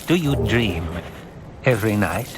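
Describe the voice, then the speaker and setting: A man's voice: fairly low pitch, lively intonation, noisy background. Man voicing a stern, commanding old woman. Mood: stern, probing. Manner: solemn, commanding, deliberate. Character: stern, probing old woman of a religious order.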